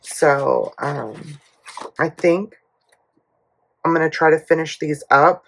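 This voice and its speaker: Hoarse woman chatting slowly, gravelly. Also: low voice, a little hiss or hum, low-quality recording. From United States. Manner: rambling storytelling with many fillers. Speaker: budgeting YouTuber. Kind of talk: casual chatty vlog while cash stuffing.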